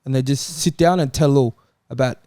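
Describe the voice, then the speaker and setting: A man's voice: medium pitch, fairly quick, mellow, dry recording, clean studio sound. A man on a football panel chatting casually, insistent and opinionated. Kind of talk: casual chat.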